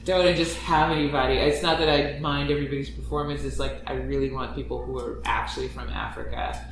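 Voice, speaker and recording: A man chatting casually on a podcast about writing his show, animated and jokey, often laughing mid-sentence. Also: medium pitch, quick pace, crowd noise. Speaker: podcast writer and producer. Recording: very echoey room with noise.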